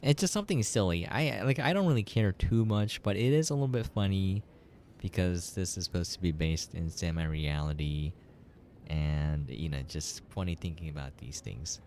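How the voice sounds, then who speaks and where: A man's voice: sits low, expressive, moderate pace, darkish tone, a little hiss or hum. A man giving casual, rambling, laid-back commentary as he reviews a game.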